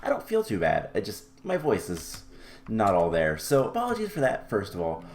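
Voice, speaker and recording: A young man with a cold and a slightly raspy, hoarse voice, chatting casually through a modded game with lots of ums and self-corrections. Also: on the low side, wildly swooping pitch, moderate pace. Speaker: gaming channel host. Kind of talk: casual Minecraft modpack let's-play commentary.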